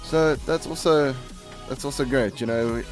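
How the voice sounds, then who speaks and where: A man hosting a gaming video with casual, rambling commentary, halting and correcting himself as he goes. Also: mid-range voice, faint music behind. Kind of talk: casual game showcase commentary. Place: voice-over on game capture.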